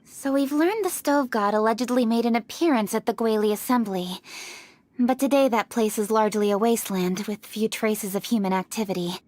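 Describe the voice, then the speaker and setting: A woman's voice: fairly high pitch, a little mellow, close to an everyday voice. A woman voicing a poised, formal young official. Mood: composed. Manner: formal, measured. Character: composed young female official, decisive. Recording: game cutscene with faint music.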